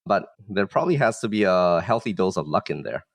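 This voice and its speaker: A man's voice: low-pitched, big pitch swings, fairly quick, dry recording. A man from Singapore explaining things casually and modestly as a podcast guest, with frequent conversational filler words. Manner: modest, explanatory, with frequent "like" and "you know" hedging. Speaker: startup founder, guest.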